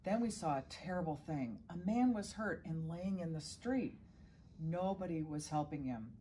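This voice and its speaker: Low-voiced woman reading a storybook, noisy recording. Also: animated, moderate pace, warm tone. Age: middle-aged. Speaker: guest children's story reader. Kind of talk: reading a children's storybook aloud.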